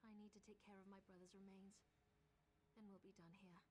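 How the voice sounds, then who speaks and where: An actress playing a determined, earnest young Dark Elf woman in a fantasy video game. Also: medium pitch, little pitch movement, a little fast, natural voice. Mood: sombre. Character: determined young Dunmer woman, grieving ally in a fantasy quest. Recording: noisy game audio with ambience.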